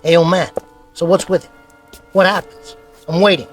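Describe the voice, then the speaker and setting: A man's voice: high-pitched, fairly even intonation, normal speed, faint music behind, lightly acted. A man acting a bitter, greedy small-time crook in a radio thriller, with pushy, impatient tough talk. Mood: impatient, pressing.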